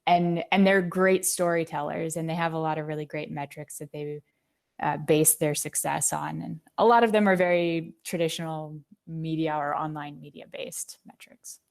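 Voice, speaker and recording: A woman with a fairly low voice. She speaks as an interview guest, articulately laying out explanations with concrete examples in a conversational way. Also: fairly even intonation, normal speed, vocal fry. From United States. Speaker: marketing executive, podcast guest.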